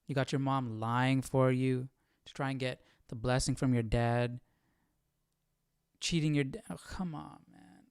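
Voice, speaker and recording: Smooth, casual man on a livestream. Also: medium pitch, steady tone, a little slow, no room sound, clean studio sound. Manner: colloquial, exasperated, incredulous. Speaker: livestream host. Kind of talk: casual reaction commentary.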